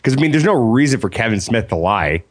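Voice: mid-range voice, very animated, quick pace, no room sound. A blunt, opinionated podcast co-host giving their view in casual chat.

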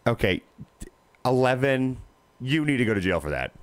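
A man co-hosting a casual podcast, joking and animated. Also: mid-range voice, normal speed, clear, resonant tone, dry recording. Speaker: podcast co-host. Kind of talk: casual chat.

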